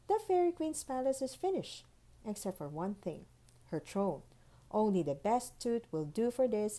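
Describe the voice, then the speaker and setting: A woman's voice: mid-range voice, warm tone. A woman reading the letters of a courteous, formal tooth fairy in a proper storybook manner. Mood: earnest pleading.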